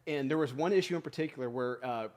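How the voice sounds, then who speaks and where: Relaxed man telling old stories. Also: medium pitch, fast. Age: 30s. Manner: relaxed, thoughtful storyteller with hesitations. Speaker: guest. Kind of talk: casual chat.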